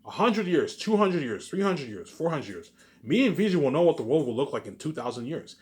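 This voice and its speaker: A man's voice: on the high side, very animated, a little fast. A man in a rambling informal monologue to viewers, boastful and circling back over the same phrases. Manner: boastful, self-aggrandizing.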